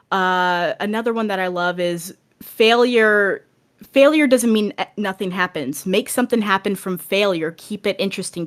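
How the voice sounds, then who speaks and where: A woman talking casually and enthusiastically as a guest on a podcast, in an earnest way. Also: mid-range voice.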